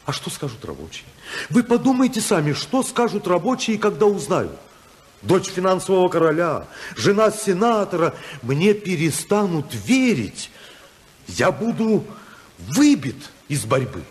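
A man's voice: high-pitched, very animated, unhurried. An actor playing an idealistic congressman torn by love, earnest and oratorical in a 1950s radio drama style. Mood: agitated. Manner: earnest, oratorical, swinging from hushed to impassioned.

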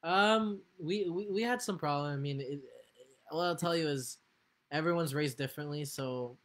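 A young man chats as a guest over a video call from home. He speaks in a relaxed way, leaning on filler words like "like" and "you know.". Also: a little high, moderate pace. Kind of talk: casual chat. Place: home room.

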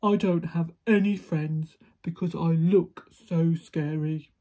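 Storybook giant, male played by a woman: enormous, booming, lonely, gentle-hearted, slightly husky. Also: very animated, slow, heavily acted. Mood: sad. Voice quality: big, booming. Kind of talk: children's storybook character voice.

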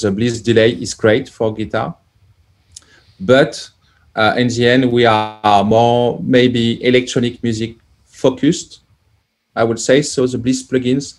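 A man, a plug-in developer guest, answering casually with many pauses as he searches for words over a video call. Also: mid-range voice. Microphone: headset on video call.

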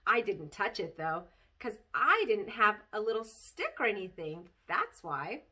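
An excitable, silly little storybook girl, as a woman reader plays her, chattering about her mischief. The delivery is bright and bubbly, with dramatic swoops in pitch. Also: measured pace, slightly rough, full character voice. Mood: bragging, chatty. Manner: childlike phrasing, dramatic, bubbly. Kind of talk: expressive children's storybook read-aloud.

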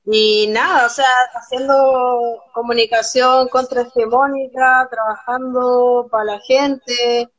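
A young woman with a Chilean accent, a guest on a live video call, speaking earnestly and quickly with many restarts. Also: fairly high pitch, steady tone, muffled recording, soft background music. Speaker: guest, documentary photographer. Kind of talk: casual live-stream interview. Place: small room at home, indoors. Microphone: phone camera on a video call.

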